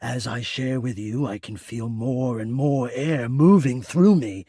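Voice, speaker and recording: A lovestruck male spirit of the air ducts for a romance video game. His voice is extremely soft-edged and husky, at a middling male pitch, in a dry, intimate recording. He speaks in ornate, earnest, hesitant phrases, like a shy and ardent servant devoted to one person. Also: unhurried, no room sound. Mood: shy, intrigued.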